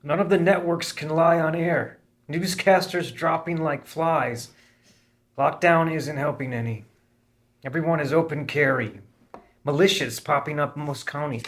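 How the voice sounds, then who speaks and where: An uneasy, grim man voiced by an actor in a dramatic table read. His voice is slightly high for a man. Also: unhurried.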